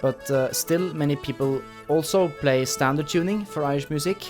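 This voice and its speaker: A man's voice: on the high side, moderate pace. Man hosting a music lesson, guitar behind. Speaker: host of an instructional guitar video. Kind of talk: conversational instructional interview.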